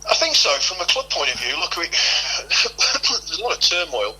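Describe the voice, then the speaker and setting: A man's voice: a little high, sing-song, normal speed, bright, forceful tone, husky, poor audio. A middle-aged man answering interview questions as a football club official, explaining at length and repeating himself as he goes. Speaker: football club head of operations, interview guest.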